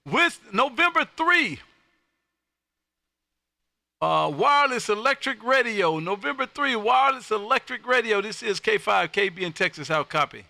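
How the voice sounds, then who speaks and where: A man hosting an amateur radio livestream, chatting casually with a loud, folksy showman's manner. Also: high voice, expressive, moderate pace, creaky, dry recording. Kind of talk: casual radio contact chat. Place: home radio room.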